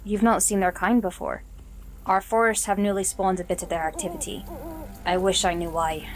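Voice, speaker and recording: A woman playing a courteous, watchful forest ranger leader in a fantasy audio story, speaking in a formal, measured, polite way. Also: medium pitch, fairly even intonation, natural voice. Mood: grave.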